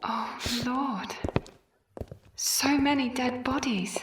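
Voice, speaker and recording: A woman's voice: high-pitched, very slow, background noise. A woman playing an urgent, resolute survivor of an undead outbreak in an audio drama. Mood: shaken. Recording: distant from mic, music behind.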